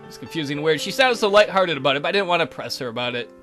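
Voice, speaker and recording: A man's voice: a little high, lively intonation, very fast, pushed and bright, soft background music. A man reading a visual novel game aloud as a let's play host, with crude, irreverent asides.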